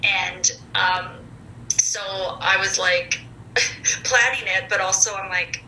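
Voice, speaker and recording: Guest, woman in her forties, loud and very bright voice, candid and chatty, echoey room. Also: medium pitch, steady tone, slow. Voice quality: loud, comfortable speaking voice. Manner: chatty and candid, with frequent fillers. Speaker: podcast guest. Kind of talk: casual conversational storytelling. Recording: echoey room with faint noise.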